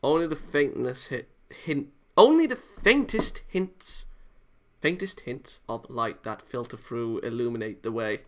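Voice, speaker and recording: Creaky-voiced man doing a let's play. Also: medium pitch, animated, unhurried, fairly bright tone. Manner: stumbles over read lines, swears casually. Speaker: let's player. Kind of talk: let's play, reading visual novel aloud with casual asides.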